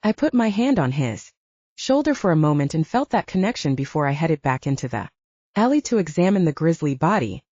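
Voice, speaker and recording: A woman's voice: low voice, sing-song, brisk, mellow, dry recording. A woman reading first-person fiction as a scripted audiobook narration. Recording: dull lo-fi, very dry.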